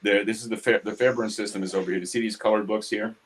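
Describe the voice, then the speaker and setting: A man in his seventies from New York City with a New York accent, talking casually about his craft in his home studio, quick and succinct, hitting consonants hard. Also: lowish voice, steady tone. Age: 70s. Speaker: guest artist. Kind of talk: casual studio chat while drawing.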